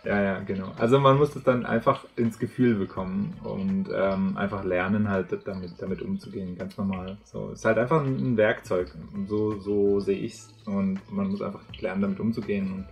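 Low-voiced German host, easygoing. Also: male voice, fairly quick, creaky, few pauses. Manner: agreeable, backchannels often, relaxed asides. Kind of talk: casual interview chat. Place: bright office room with plants.

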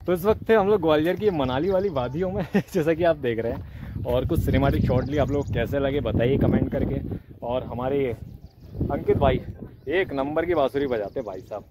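A man's voice: medium pitch, moderate pace, noisy background. A man in his thirties vlogging casually outdoors, chatting cheerfully and laughing with his viewers, filmed on a handheld camera. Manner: chatty, upbeat, laughs easily, talks to viewers as friends. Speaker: travel vlogger. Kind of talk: casual travel vlog narration. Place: outdoors on a forest hilltop and trail. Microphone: phone or action camera held at arm's length. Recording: background music mixed under the voice.

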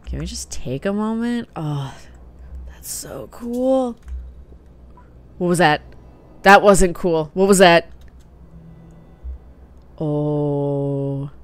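Giggly woman with animated pitch. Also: drawn-out words, pauses often. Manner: giggly, excitable. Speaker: gaming youtuber. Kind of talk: casual let's play commentary. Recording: game music faint underneath.

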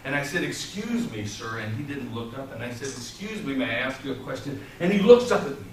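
A middle-aged man giving a theatrical tall-tale speech to a live audience, dramatic and deliberate, with pauses and repetition. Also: medium pitch, very animated, a little mellow. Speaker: storytelling contestant at a public speaking club. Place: small meeting room with whiteboard and audience, indoors. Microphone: far camera microphone. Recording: roomy echo, distant mic, clearly noisy.

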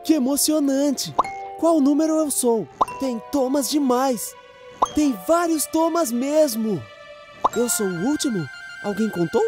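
A cheerful young boy engine from a children's cartoon, with a high voice and a Brazilian accent. He is eager and helpful, exclaiming with enthusiasm over a prominent music bed. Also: animated, unhurried. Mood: excited, cheerful. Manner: bright-eyed, enthusiastic, exclamatory. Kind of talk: children's cartoon dub acting.

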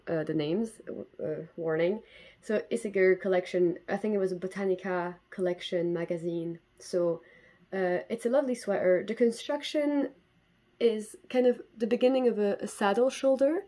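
A woman's voice: medium pitch, mellow. A woman in her twenties from Belgium, chatting casually to camera at home as a knitting podcast host, with frequent fillers and restarts. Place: bedroom at home, indoors. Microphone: camera in front at desk distance.

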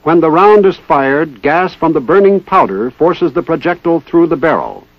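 A man giving formal, precise, scripted narration in the style of a 1960s military instructional film, with measured enunciation. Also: a little high, very animated, dry recording. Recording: dull 1960s training film soundtrack.

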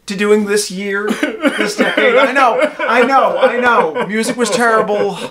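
A young man goofing around in behind-the-scenes banter in a living room, loud and hammy. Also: sits high, unhurried, over a noisy crowd. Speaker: co-star.

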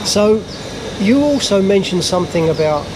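A man's voice: sits high, expressive, moderate pace. A middle-aged man hosting an interview, asking follow-up questions and encouraging his guest. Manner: warm follow-up questions, many short encouraging replies. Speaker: host interviewer. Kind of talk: casual sit-down interview. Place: outdoor courtyard table. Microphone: camera at a distance across the table. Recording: outdoor ambience with traffic noise.

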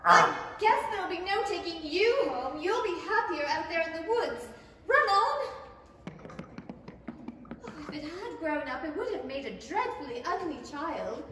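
A woman's voice: very high voice, sing-song, a little slow, fairly bright tone, heavily acted. A woman playing a polite, earnest, easily startled young girl in a theatrical radio play style. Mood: scolding. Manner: exclaiming, theatrical, prim. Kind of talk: 1930s radio play acting. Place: small indoor theatre stage, camera at a distance. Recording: very echoey hall from far off, noisy.

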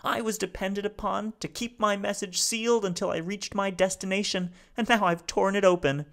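Little old alien robot: high, halting, mournful. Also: male voice, fairly even intonation, creaky, a bit bright. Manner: halting, lamenting.

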